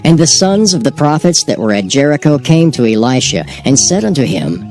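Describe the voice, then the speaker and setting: A man narrating a dramatized scripture reading in a measured, solemn storytelling manner. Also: medium pitch, big pitch swings, a little mellow. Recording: narration over background music.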